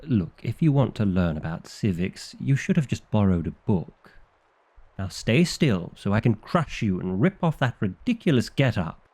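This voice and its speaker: A man's voice: lowish voice, sing-song, moderate pace, darkish tone. A man playing a bookish, exasperated vampire librarian in an ASMR roleplay, wry and theatrical with flustered indignation. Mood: smug, exasperated.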